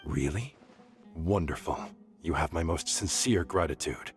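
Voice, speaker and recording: Game casting: a very deep-voiced official, formal, gracious and in charge, faint music underneath. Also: male voice, a little slow. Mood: grateful. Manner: formal, polite, commanding. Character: courteous, authoritative male leader giving orders. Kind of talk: video game voice acting. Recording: music behind the voice.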